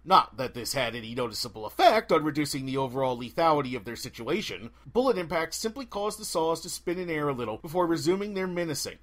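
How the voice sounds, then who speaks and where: A man reading a fantasy novel aloud as an expressive audiobook narrator. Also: a little high. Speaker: audiobook reader. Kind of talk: expressive scripted story narration.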